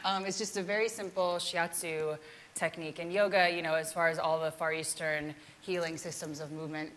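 Low-voiced woman giving a chatty talk. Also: steady tone, a little fast, fairly bright tone, slight background noise. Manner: chatty, self-deprecating, laughs often. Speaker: yoga teacher giving a keynote. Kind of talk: casual presentation with demonstration.

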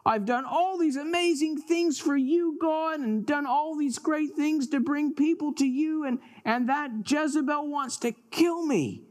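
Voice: high voice, measured pace, hardly any pauses, darkish tone, voice pushed up. A storyteller voicing a frightened, aggrieved male prophet in a Bible story for children, plaintive and dramatic. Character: Elijah, frightened aggrieved man, a lonely prophet.

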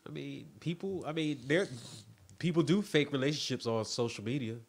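A man's voice: a little high, expressive, moderate pace, vocal fry. A man chatting casually as a co-host on a talk show. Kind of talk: casual chat.

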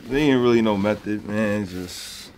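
A male beat producer in casual chat, laid-back and low-key about his work. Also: normal speed, a little mellow, a little hiss or hum.

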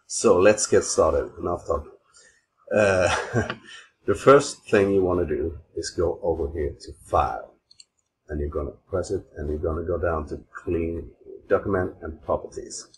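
The low-voiced Swedish guy in a homemade screen-capture tutorial, explaining each step in halting, non-native phrasing over background noise. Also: poor audio. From Sweden. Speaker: tutorial host. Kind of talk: informal software tutorial. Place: voice-over recorded at an old computer. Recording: steady clear background noise, mouse clicks.

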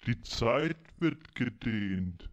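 A man's voice: mid-range voice, lively intonation, dull audio. A man voicing a goofy male crewman whose words come out stretched and drawn out. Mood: dreamy. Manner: drawn-out, very slow.

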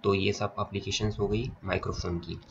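A man's voice: fairly low pitch, little pitch movement, fairly quick, creaky. A man with an Indian accent giving a slide lecture, reading points aloud and explaining them step by step. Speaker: polytechnic lecturer. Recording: dull lo-fi audio, faint noise, clicks.

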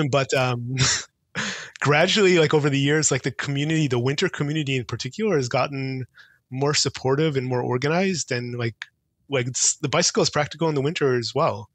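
A man's voice: mid-range voice, fairly quick, husky, no echo. Casual middle-aged guy from israel on a headset, lots of ums and restarts, dry close sound. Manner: relaxed, thoughtful, many fillers and restarts. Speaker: guest, transportation consultant. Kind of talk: casual podcast chat. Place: home office with bookshelves. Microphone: headset mic on video call.

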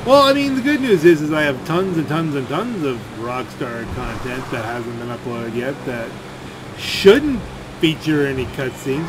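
A man rambling through off-the-cuff gameplay commentary in a grumbling, sardonic way. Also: a little high. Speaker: gaming video creator. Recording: clear game vehicle and gunfire noise. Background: video game driving and shooting sounds.

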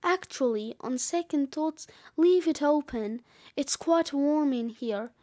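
Gruff wise-guy snowman for a children's audiobook, played by a woman: bossy, cheeky, unhurried. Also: sits high, warm tone, no echo, clean studio sound. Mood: smug, brash. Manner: slangy, brash, dismissive.

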